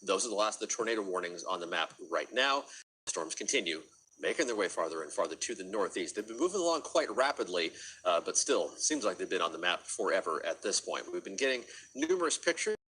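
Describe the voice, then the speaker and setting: A man's voice: deep voice, sing-song, brisk, sharp tone, slightly rough, rough recording. A man with an American accent giving live severe weather commentary as a TV forecaster.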